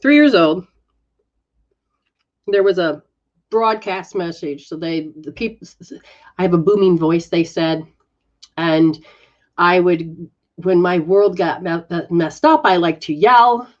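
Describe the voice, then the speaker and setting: A woman giving a live spiritual reading and healing session, coaching softly with repeated phrases. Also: on the low side, takes it slowly, long pauses, lo-fi sound. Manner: soft, coaching, repetitive phrasing. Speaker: metaphysical practitioner giving readings.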